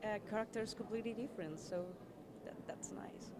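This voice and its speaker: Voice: medium pitch, brisk, noisy background. A non-native English speaker on a film cast, answering casually and searching for words. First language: not English. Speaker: film cast member being interviewed. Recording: crowd chatter in press area.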